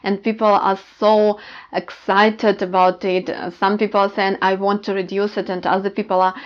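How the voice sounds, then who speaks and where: A woman with a Ukrainian accent, a language teacher talking casually to learners and pausing often with "uh" fillers. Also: mid-range voice, flat delivery, highs cut off. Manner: many "uh" fillers, thoughtful.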